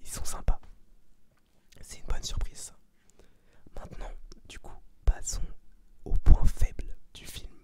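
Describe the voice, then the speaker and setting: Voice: a little slow, long pauses. An ASMR host whispering a review in an informal, slangy, rambling way. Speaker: ASMR video host giving a film review.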